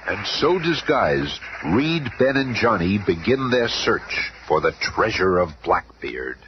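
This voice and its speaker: A suspenseful narrator of an adventure radio serial, theatrical in delivery. The voice is fairly low and highly animated, on a dull recording with clear noise. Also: male voice, takes it slowly. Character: dramatic, suspense-building adventure narrator, grown man. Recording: muffled, noisy old radio recording.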